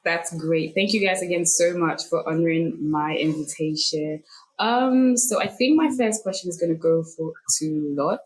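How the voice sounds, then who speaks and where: A woman's voice: fairly low pitch, moderate pace, low-quality recording. A woman hosting a podcast interview, upbeat and chatty, laughing easily. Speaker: podcast host. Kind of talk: casual interview.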